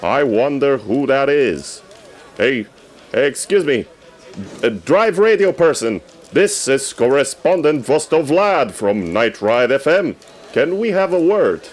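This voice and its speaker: Man playing an eager, theatrical radio correspondent, swinging pitch. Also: lively intonation, takes it slowly. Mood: polite, curious. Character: eager, easily snubbed male radio correspondent on the red carpet. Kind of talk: scripted comedic red carpet reporting.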